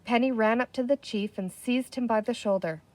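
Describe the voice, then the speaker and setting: A woman reading a children's book aloud as expressive scripted narration. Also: a little high, moderate pace, no room sound, low-quality recording. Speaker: storybook reader.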